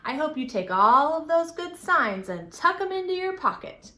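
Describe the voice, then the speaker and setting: Animated, bright-voiced woman teaching young children. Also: sits high, normal speed. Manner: simple, encouraging, instructional phrasing aimed at young children; exaggerated, animated delivery. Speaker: children's storytime host and teacher. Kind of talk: children's storytime lesson with questions and sing-song. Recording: roomy, echoing indoor sound.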